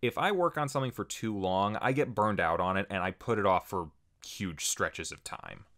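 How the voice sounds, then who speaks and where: A man's voice: fairly low pitch, normal speed, fairly bright tone. A man hosting a casual scripted channel update, talking informally to viewers with wry asides. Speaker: channel host and video creator.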